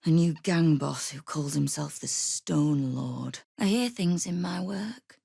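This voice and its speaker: A tough lady crime boss in a video game, low voice, wry n shrewd, quietly threatening, super dry sound. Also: slow pace, gravelly, husky, dry recording, full character voice. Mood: dry, businesslike. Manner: wry, controlled, menacing understatement. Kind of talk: video game character dialogue.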